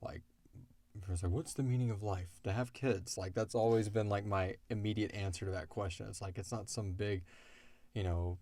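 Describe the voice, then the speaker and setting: A man's voice: fairly low pitch, fairly even intonation, normal speed, dark tone, dry recording. A man talking in a casual, rambling, conversational way with lots of "like", as a show's creator answering listener questions. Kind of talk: casual Q&A discussion.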